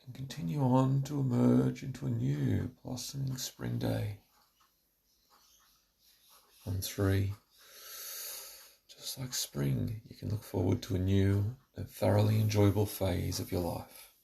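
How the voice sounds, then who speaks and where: Male relaxation narrator, lowish and extremely gravelly, giving soothing, measured instructions from a script. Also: warm tone. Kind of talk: scripted guided relaxation meditation.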